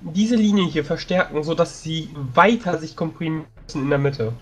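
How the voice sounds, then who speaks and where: A man playing a tabletop game, planning a battle while thinking aloud with many pauses and fillers. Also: a little high. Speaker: tabletop player.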